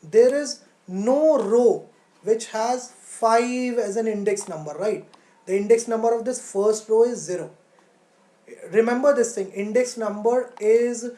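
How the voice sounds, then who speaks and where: A woman teaching a live online class step by step, thinking aloud haltingly, repeating key phrases and posing questions that she then answers. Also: medium pitch, mellow. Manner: poses questions and answers them. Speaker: coding teacher. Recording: roomy, echoey sound.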